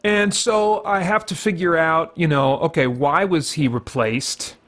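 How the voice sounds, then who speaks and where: A man's voice: a little high, a little slow, a bit bright. A man giving casual gameplay commentary as a host, explaining his reasoning and thinking aloud as he goes. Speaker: host of a gaming video series.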